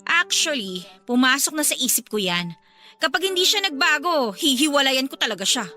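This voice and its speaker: A woman's voice: high voice, big pitch swings, moderate pace, pushed and bright, full character voice. A woman playing a stressed, irritable young female student and older sister in a Filipino radio drama, with a Filipino accent. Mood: fed up. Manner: irritable, complaining, emphatic. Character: exasperated twenty-year-old female pre-med student, stressed older sister. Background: music.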